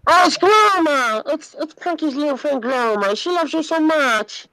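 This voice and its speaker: A man with a deep voice putting on a high, sing-song voice for a little alien cartoon sidekick. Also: animated, moderate pace, pushed and bright, full character voice, low-quality recording. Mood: cooing.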